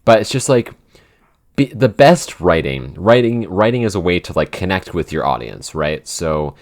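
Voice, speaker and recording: Very deep-voiced man explaining casually. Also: big pitch swings, normal speed. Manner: rambling, explanatory, restarts thoughts mid-sentence. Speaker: podcast co-creator and writer. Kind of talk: casual Q&A chat.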